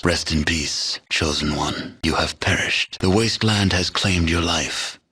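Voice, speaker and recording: A man playing a grave, solemn narrator of a post-apocalyptic video game, telling the tale in measured, deliberate scripted narration. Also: deep voice, sharp tone, slightly rough, heavily acted. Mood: grave, ominous.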